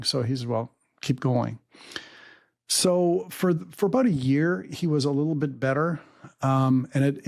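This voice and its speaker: Middle-aged guy, german first language, gravelly voice, slow reflective storytelling with lots of ums. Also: medium pitch, a little mellow. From Canada. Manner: measured, reflective, many fillers. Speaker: podcast host and guest. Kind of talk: personal storytelling interview.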